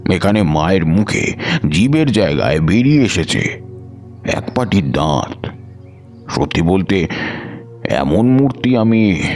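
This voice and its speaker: A devout wandering tantric mystic, voiced by a man, tells his story in a measured, reflective audio-drama narration. His voice sits extremely low and steady. Faint background music runs beneath him. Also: exaggerated voice. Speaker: first-person narrator.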